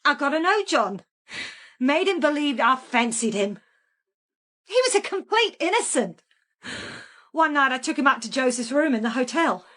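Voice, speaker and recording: A calculating murderess in a radio crime drama. Her voice sits fairly high, and she recounts what she did in a plain, unrepentant manner, as if it were everyday business. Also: big pitch swings, normal speed, long pauses, fairly bright tone. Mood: matter-of-fact, reminiscing. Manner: matter-of-fact, unrepentant. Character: calculating female killer calmly confessing in a police interview. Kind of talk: naturalistic radio drama acting.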